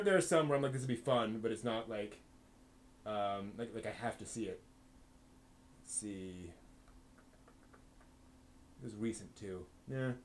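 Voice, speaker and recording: Rambling man hosting a live stream. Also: medium pitch. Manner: rambling, thinking aloud with many ums and restarts. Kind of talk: casual live-stream chat answering chat questions.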